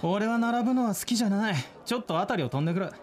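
A man's voice: high voice, rapid-fire, close to an everyday voice. A man playing an aloof, self-important pheasant retainer in a comic radio drama, blunt and cool in his delivery. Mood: matter-of-fact.

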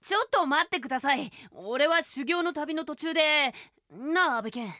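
Bright, high-voiced boyish cat swordsman. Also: expressive, normal speed, no room sound, clean studio sound. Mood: protesting, worried. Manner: cat-like verbal tics, boyish speech. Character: young male cat swordsman, eager, earnest, boyish. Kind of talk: animated radio drama acting.

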